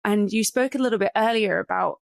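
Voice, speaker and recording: A woman hosting a podcast as a coach, reflecting back feelings and asking gentle questions. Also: mid-range voice, a little fast, vocal fry, no echo, studio quality. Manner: validating, reflective. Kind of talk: empathetic interview questioning.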